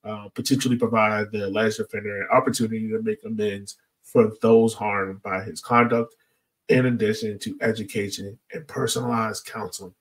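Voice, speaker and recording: A man's voice: on the low side, little pitch movement, normal speed, distant mic, poor audio. A man hosting a podcast, talking through points in a casual discussion style. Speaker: podcast host.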